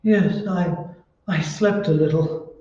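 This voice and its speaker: Casting: actor as mild, browbeaten husband for radio ghost play, soft mellow voice, slow and halting. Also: male voice, sits high. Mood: weary, fearful. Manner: hesitant, stammering, meek. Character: timid, henpecked husband, meek and bewildered. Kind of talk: old-time radio horror acting. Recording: muffled, very echoey, distant stage recording.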